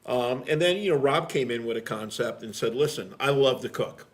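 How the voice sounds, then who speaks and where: Middle aged firefighter guy just shooting the breeze, blunt, salty talk, average pitch. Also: expressive, fairly quick. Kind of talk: casual conversational storytelling.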